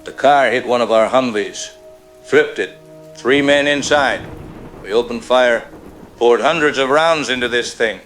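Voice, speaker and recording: A man plays a veteran Marine colonel in an apocalyptic radio drama, reporting from the field. He is grave and halting, haunted but disciplined, in a medium-pitched voice. Soft music sits behind him. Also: close to an everyday voice. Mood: shaken, grave.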